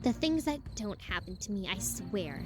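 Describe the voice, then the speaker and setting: A woman's voice: mid-range voice, sing-song, moderate pace, natural voice. A woman acting an exasperated but concerned young huntress in a fan fiction audio drama. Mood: baffled, grumbling. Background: music behind the voice.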